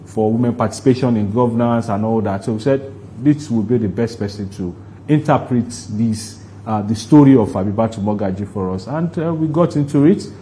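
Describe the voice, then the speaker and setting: A man with a Nigerian accent answering interview questions in a deliberate, halting way, explaining things at length. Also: lowish voice, warm tone, a little hiss or hum. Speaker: guest, stage play producer.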